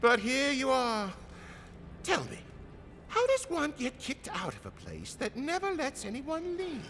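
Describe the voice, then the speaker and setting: An actress playing a brash, mocking adventurer in a video game, theatrically goading someone. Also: female voice, high voice, huge pitch swings, unhurried, a bit bright, slight background noise, full character voice. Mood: taunting, needling. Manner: taunting, theatrical. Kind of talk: game dialogue.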